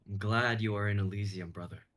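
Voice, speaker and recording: A man playing an earnest, brotherly mythic hero with a husky voice in a live script reading. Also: fairly low pitch, steady tone, a little slow, fairly bright tone. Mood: affectionate.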